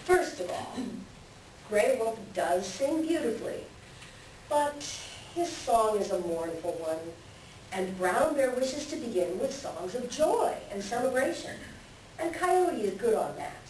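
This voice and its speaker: A woman voicing a wise, patient male eagle elder in a readers theater, measured in the way a storyteller reasons. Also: on the high side, big pitch swings, a little mellow. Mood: patient, reasoning. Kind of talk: readers theater stage reading. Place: library room, recorded from a distance. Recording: very echoey library room, distant, hissy.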